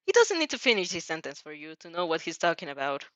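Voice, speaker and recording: A woman's voice: low voice, very animated, fast talker, fairly bright tone, dry recording. A woman narrating fan fiction expressively as an amateur reader, sometimes stumbling over words.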